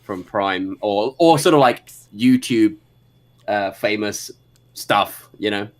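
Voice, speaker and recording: A man's voice: on the low side, slow. A man with a British accent, chatting casually and swearing freely on a livestream. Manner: animated, sweary.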